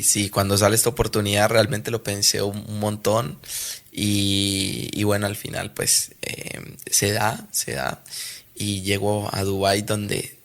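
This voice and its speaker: A man's voice: lowish voice, gravelly. A young Colombian man with a Colombian Spanish accent, a footballer being interviewed, talking casually and hesitantly with many restarts. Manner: hesitant, restarts often, animated when telling anecdotes. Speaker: professional footballer guest.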